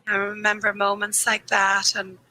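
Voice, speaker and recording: A woman grief therapist speaking earnestly and candidly in a podcast interview, a little croaky, slowing down on the points that matter to her. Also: mid-range voice, completely flat delivery, sharp tone. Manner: earnest, candid, laughs easily, slows on key phrases. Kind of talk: reflective conversational interview.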